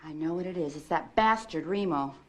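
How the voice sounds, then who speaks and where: A woman's voice: on the low side, fairly quick, a crowd in the background, natural voice. An actress playing a catty, self-assured female mentor in a soap parody, with a sugary, backhanded delivery. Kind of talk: parody soap drama acting.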